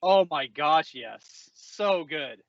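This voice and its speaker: A man's voice: fairly high pitch, expressive, extremely slow, creaky, fairly bright tone, dry recording, dull audio. A man hosting a casual interview, chatting in an enthusiastic, effusive way. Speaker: podcast host, company executive.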